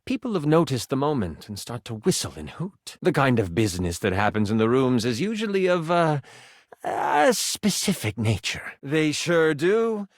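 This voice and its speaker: An actor voicing a living twenty-sided die, an eager, playful game master who narrates a tabletop adventure theatrically and expressively. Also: male voice, mid-range voice, normal speed, no echo, studio quality, lightly acted. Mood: dramatic, suspenseful. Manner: dramatic storytelling, expressive, playful.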